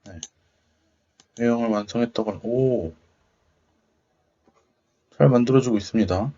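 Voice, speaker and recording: Low-voiced man musing aloud, dull recording. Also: normal speed, darkish tone. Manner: musing, tentative, murmuring to himself. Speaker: host of a tech video channel. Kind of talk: casual screen-recording demo commentary, thinking aloud. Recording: dull, lo-fi microphone sound.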